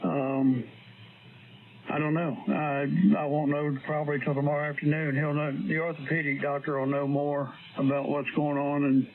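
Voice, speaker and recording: A man's voice: on the high side, completely flat delivery, normal speed, noisy background. A man chatting casually as an amateur radio operator, heard over a radio transmission. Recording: narrowband, staticky ham radio transmission.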